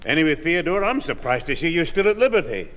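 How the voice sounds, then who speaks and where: Sardonic, animated old grandfather. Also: a little high, fast talker. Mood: sarcastic. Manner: sardonic, needling. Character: grumpy, sarcastic old grandfather. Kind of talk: 1960s British radio comedy acting. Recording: reverberant old radio studio recording.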